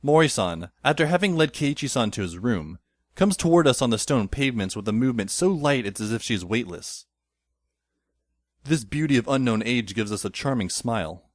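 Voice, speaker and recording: A man's voice: mid-range voice, animated, moderate pace, no room sound, close to an everyday voice. A man playing a wry teenage schoolboy who narrates an audio drama in a dry, descriptive way.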